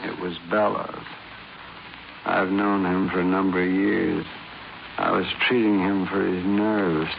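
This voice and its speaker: An American actor playing a dying con-man hypnotist in a 1950s radio crime drama, confessing haltingly in a weak, gravelly, weary voice. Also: low voice, level tone. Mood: weary, confessional. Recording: muffled, noisy 1950s radio recording.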